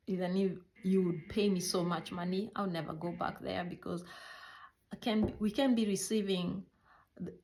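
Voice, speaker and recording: A middle-aged Kenyan woman with a fairly low voice, the kind you would hear recounting her life slowly across a kitchen table, pausing to find words in her second language. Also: darkish tone. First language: a Kenyan mother tongue. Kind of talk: personal storytelling.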